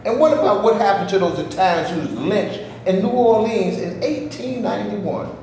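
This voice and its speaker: A man's voice: high voice, expressive, moderate pace, darkish tone. A man playing a fed-up, indignant man. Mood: heated. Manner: impassioned, indignant. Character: fed-up, impatient man demanding his share. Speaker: character in a staged reading. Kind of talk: stage play reading. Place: theater before a live audience. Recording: echoey room, faint background noise.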